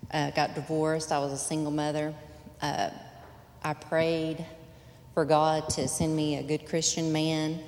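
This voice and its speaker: A woman's voice: low voice, level tone, a little slow, a little mellow. Hesitant woman in an echoey church. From Texas. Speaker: church panel member. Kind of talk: personal testimony. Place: church sanctuary. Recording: echoey hall with faint ambience.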